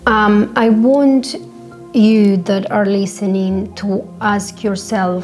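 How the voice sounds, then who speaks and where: A woman with a Spanish accent speaks over music in a narrow, even pitch range. She is a native Spanish speaker, delivering a personal podcast monologue. Her manner is reflective and earnest. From born in Colombia, grew up in Spain. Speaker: podcast host.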